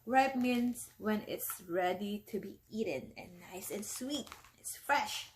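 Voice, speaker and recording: A woman's voice: medium pitch, slow pace, a little hiss or hum. A woman, a teacher, reading a picture book aloud to young children, often stopping to explain words and ask them questions.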